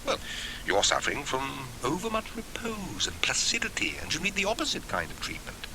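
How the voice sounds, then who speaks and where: Droll, assured male friend for a storybook acted by a male reader, very varied pitch, hissy tape. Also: huge pitch swings, fairly quick, gravelly, full character voice. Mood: dryly advising. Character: droll, matter-of-fact male friend giving absurd advice. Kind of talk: acted audiobook dialogue. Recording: hissy old cassette tape.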